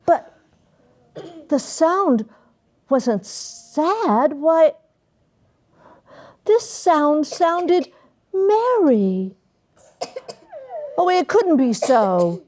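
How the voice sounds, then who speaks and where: A woman reading a children's story aloud in an expressive, theatrical way, with dramatic emphasis and long pauses. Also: high-pitched. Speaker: guest storyteller and academic dean. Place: elementary school classroom with children.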